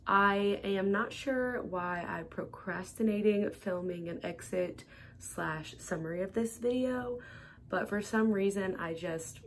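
A young woman in her twenties talking casually to her phone camera, hesitant and soft, with many fillers. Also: mid-range voice, a little hiss or hum. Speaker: vlogger. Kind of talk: casual vlog chat. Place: large indoor gym, then a small room at home. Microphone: phone camera close up.

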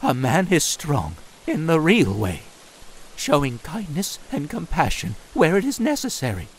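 Man acting a high-voiced, heartbroken snake demon. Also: wildly swooping pitch, measured pace, a little hiss or hum, heavily acted. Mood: hurt, earnest. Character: earnest, heartbroken male snake demon, pleading lover. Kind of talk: audio comic dub acting. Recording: background music. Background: music.

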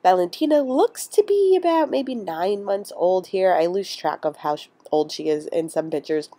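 A woman with a slightly low voice and a congested, stuffy nose talks through her craft project in a chatty, meandering way, full of ums and restarts. The sound is dry and close, with no room around her. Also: expressive, moderate pace, mellow, dry recording. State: congested from a cold. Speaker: crafting vlogger. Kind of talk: casual voice-over narration of a craft project.

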